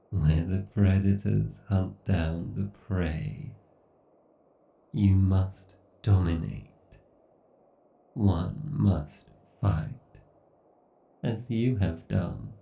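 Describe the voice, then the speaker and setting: Man voicing a slow, gravelly, obsessive AI god villain. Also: low voice, recorded from a distance, dull audio, heavily acted. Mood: menacing, grandiose. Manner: slow, menacing, brooding delivery with deliberate pauses. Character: obsessed, villainous male AI god ruling a scorched apocalypse. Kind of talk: horror ASMR roleplay monologue.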